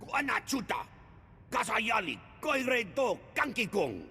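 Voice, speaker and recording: An actor voicing a gruff alien prisoner in a video game, speaking emphatically in an invented alien tongue. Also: male voice, extremely high pitch, sing-song, unhurried, bright, forceful tone, heavily acted. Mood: agitated. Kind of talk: video game cutscene acting. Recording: long hall reverb, music behind.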